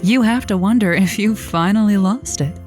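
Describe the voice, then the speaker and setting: Casting: actress as flirty lonely-hearts radio hostess, mid-pitched, teasing and theatrical, radio comedy. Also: female voice, normal speed, darkish tone, soft background music, close to an everyday voice. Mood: playful, upbeat. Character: playful, flirty woman hosting a late-night lonely-hearts radio show. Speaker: radio show host. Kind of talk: comedic radio drama monologue.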